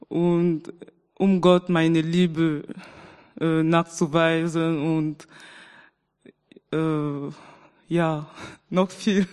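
A woman's voice: on the low side, darkish tone. A young woman in her twenties, a student, giving a hesitant personal testimony in church. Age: 20s. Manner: hesitant, repeating herself. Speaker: student and baptism candidate. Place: church hall. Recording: reverberant church hall.

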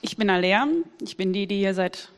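A woman's voice: medium pitch, flat delivery, moderate pace, crowd noise, background noise. A woman of twenty giving a fluent, lightly humorous personal testimony in church. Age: 20s. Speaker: student and baptism candidate. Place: church hall. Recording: reverberant church hall.

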